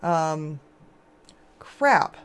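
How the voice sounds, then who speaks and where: A woman streaming and chatting casually with viewers, with a hoarse, scratchy voice from a sore throat and a rambling, chatty way of talking. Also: expressive, very slow. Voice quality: lower and scratchier than usual. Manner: rambling, chatty, with frequent restarts and laughs. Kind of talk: casual chat while gaming.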